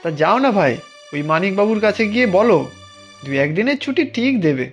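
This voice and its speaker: A man's voice: high-pitched, sing-song, a little fast, soft background music. A man voicing a humble, resigned village day labourer in a cartoon, with folksy, plain-spoken delivery. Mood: uneasy, advising. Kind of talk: cartoon voice acting.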